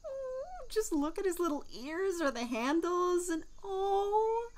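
A woman playing a nervous, soft-hearted young man in an audio drama, hesitant and flustered with sighs and stammers. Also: very high-pitched, big pitch swings, takes it slowly, full character voice. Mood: gushing.

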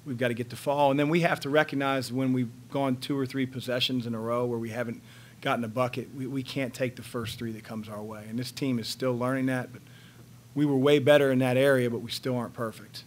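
A man in his fifties, a head coach answering questions at a post-game press conference, speaking in a measured, halting way with many fillers, into a table microphone in a press room. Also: mid-range voice, steady tone, a little hiss or hum. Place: press room in front of a sponsor backdrop. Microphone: table microphone on a stand.

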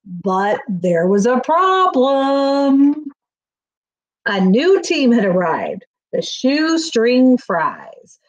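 Middle-aged female storyteller, slightly high voice, expressive children's book read-aloud. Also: drawn-out words, muffled recording. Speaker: school staff reading aloud. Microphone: webcam on a video call, near.

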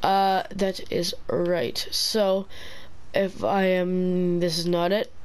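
A man's voice: high-pitched, takes it slowly, clean tone. A young man giving casual, rambling commentary while playing, groggy from an early morning and often correcting himself. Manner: rambling, self-correcting, relaxed. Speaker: gaming channel host. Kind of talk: casual let's play commentary.

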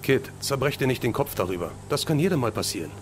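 A man's voice: medium pitch, very animated, fast, slight background noise, natural voice. A man playing an easygoing, loyal crime-fighting agent in an amateur radio play, casual and reassuring. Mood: easy, reassuring.

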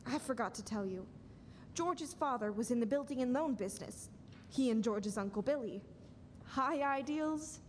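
A young woman playing a calm, authoritative superintendent of angels, telling a story in a live radio play. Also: high-pitched. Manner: instructive storytelling. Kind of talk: stage radio-play acting. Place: school auditorium stage, standing at mic. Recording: reverberant noisy hall.

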